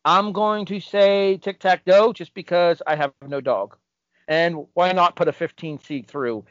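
A man's voice: high voice, measured pace, no room sound, dull audio. A man co-hosting a podcast, talking in a casual, chatty way. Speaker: podcast co-host. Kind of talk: casual chat.

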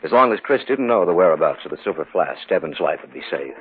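Deep-voiced, sober radio-drama narrator. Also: male voice, big pitch swings, fast, noisy background. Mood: grave. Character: sober, level-headed man narrating his own eerie tale. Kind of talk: first-person 1950s radio drama narration. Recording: muffled old radio recording.

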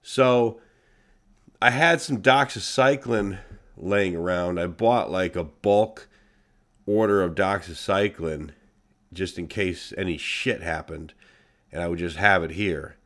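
A man's voice: low voice, slow. A man hosting his own podcast, talking casually and bluntly with a congested, nasal voice and lots of swearing. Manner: blunt, profane, animated. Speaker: podcast host. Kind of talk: casual solo podcast monologue.

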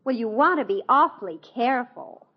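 An actress playing an indignant, sarcastic teenage daughter who complains in a 1950s radio situation comedy. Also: female voice, fairly high pitch, lively intonation, fairly quick, husky. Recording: dull vintage radio broadcast recording.

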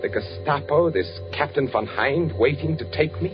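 A dull vintage radio recording with music behind carries an actor as an anxious spy living under cover. His low voice swings widely as he broods and halts over his questions, in 1940s thriller style. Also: expressive, close to an everyday voice. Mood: troubled, brooding. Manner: brooding, halting narration with repeated questions. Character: anxious undercover spy posing as a German architect. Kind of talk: 1940s radio drama acting.

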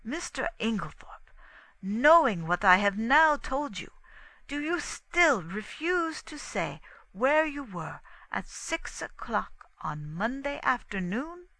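Woman voicing a commanding, theatrical male detective, bright and forceful. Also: fairly high pitch, big pitch swings, no echo, voice pushed up, exaggerated voice, rough recording. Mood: menacing, insistent. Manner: deliberate, pointed, dramatic pronouncements. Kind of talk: dramatic audiobook character dialogue.

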